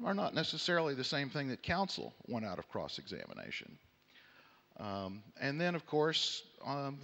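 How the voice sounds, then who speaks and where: A middle-aged man from Texas with a Southern American accent moderates a conference panel, addressing the audience in a measured, courtly, wry way. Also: medium pitch, a bit bright. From Houston, Texas, United States. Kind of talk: conference opening remarks to an audience. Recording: echoey hall with long reverb.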